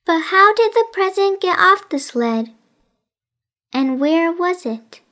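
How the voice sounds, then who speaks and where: A woman reading a children's story in expressive, careful, deliberate narration. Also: very high voice. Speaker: children's audiobook reader.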